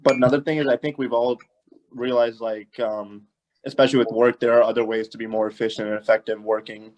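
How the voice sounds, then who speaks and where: A man in his twenties speaking calmly and measuredly on a video call. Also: mid-range voice, fairly quick, dull audio. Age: 20s. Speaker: young adult panelist. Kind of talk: casual chat.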